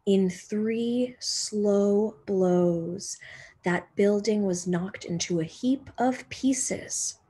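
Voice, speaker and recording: A young woman, a teacher reading a children's picture book aloud expressively, with deliberate dramatic pauses and emphasis. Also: medium pitch, mellow, husky. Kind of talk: expressive children's storybook narration.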